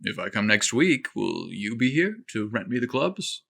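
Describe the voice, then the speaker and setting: A man's voice: fairly low pitch, big pitch swings, moderate pace, creaky, fairly bright tone, heavily acted. A man doing a charming easygoing golfer. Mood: friendly.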